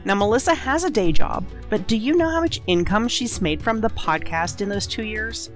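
A woman's voice: medium pitch, expressive, normal speed, soft background music. A woman, the show's editor and producer, earnestly reading a prepared appeal asking listeners for support.

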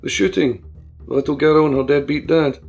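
A gruff, urgent caller, acted by a man in a storybook, talking in clipped slang. The voice is gravelly and the sound a bit dull. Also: on the high side, normal speed, darkish tone, faint music behind. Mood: urgent warning. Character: gruff, slangy insider phoning a warning.

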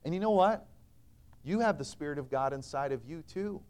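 A man with a fairly high voice delivers a sermon in a church sanctuary. He preaches earnestly and emphatically, rising to loud declarations and dropping to quiet, pointed lines. When he quotes scripture, he slips into solemn old-fashioned wording. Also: expressive, moderate pace.

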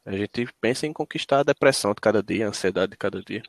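A man's voice: fairly low pitch, quick pace, dry recording, studio quality. A Brazilian man in casual chat, blunt and sarcastic, swearing freely.

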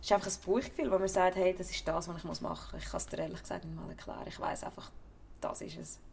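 A young woman with a Swiss German accent, an actress chatting casually as an interview guest, lively and laughing, sometimes dropping to a soft near-whisper. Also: on the low side, fast talker, gravelly, a little hiss or hum. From Switzerland.

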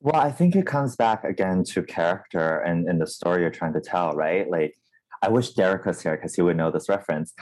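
A man from Taiwan talks in a relaxed panel discussion, his voice sitting very low. He is thoughtful and builds his points around concrete examples. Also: brisk, poor audio.

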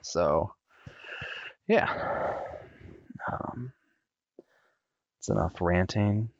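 Man, very low voice, monotone, filler-heavy casual podcast talk, dry dull headset sound. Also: very slow, gravelly, dry recording. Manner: monotone, with many ums and uhs. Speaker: podcast host. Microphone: USB desktop headset.

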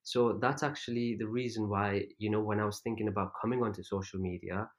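A man's voice: lowish voice, steady tone, brisk. A young man from Pakistan raised in England, speaking in a casual interview, hesitant with many fillers and frequent laughs. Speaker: guest, content creator.

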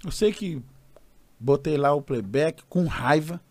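A Brazilian man, a gospel singer guest, telling an animated story and acting out the voices of others. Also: a little high, takes it slowly. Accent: Brazilian Portuguese. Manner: expressive, acts out quoted voices.

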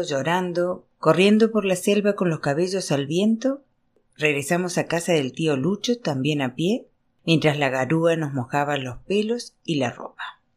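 A woman reading literary fiction aloud as scripted narration, in an expressive storytelling manner. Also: sits low. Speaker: audiobook reader.

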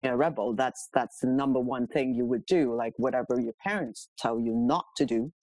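A woman's voice: very deep voice, moderate pace, darkish tone, no room sound, poor audio. A middle-aged woman from Hong Kong telling her own story casually and candidly as a podcast guest. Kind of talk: casual autobiographical interview talk.

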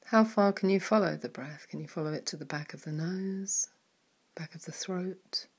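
A woman leading a guided mindfulness meditation in a soft, calm manner, with some words trailing into a whisper. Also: lowish voice, normal speed, slightly rough.

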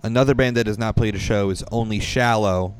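A man co-hosting a punk podcast in casual chat, halting with fillers and cracking jokes. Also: lowish voice, clean tone, no echo. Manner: hesitant, full of fillers, joking. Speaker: podcast co-host.

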